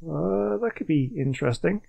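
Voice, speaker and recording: A man giving casual gameplay commentary, thinking out loud and questioning himself as he goes. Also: a little high, expressive, normal speed, creaky. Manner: muses aloud, questioning himself. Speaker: gaming video host. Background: faint game music.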